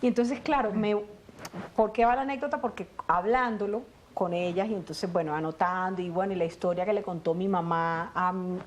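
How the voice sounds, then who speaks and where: Colombian woman telling animated family stories. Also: on the low side, brisk. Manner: animated storytelling, acts out family dialogue. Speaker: guest, actress. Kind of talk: conversational interview.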